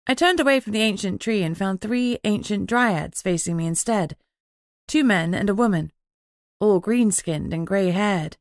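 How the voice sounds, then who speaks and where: A woman's voice: medium pitch, normal speed, dry recording, clean studio sound. A woman reading scripted first-person fantasy fiction as an audiobook narrator.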